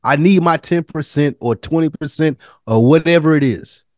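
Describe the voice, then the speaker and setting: A man co-hosting a podcast, talking in an animated, emphatic way with profane slang. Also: a little high, normal speed, no echo, studio quality. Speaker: podcast co-host. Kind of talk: casual chat.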